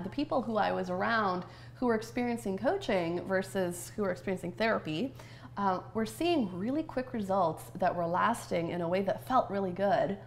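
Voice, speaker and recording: Enthusiastic woman coach, chatty guest. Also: mid-range voice. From Romania, grew up in Canada. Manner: enthusiastic, thoughtful with frequent fillers. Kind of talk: conversational interview.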